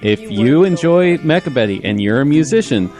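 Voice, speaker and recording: A man chatting casually as a radio interview guest, rambling and halting as he promotes his own work. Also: on the high side, lively intonation, over music. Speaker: interview guest, audio series creator.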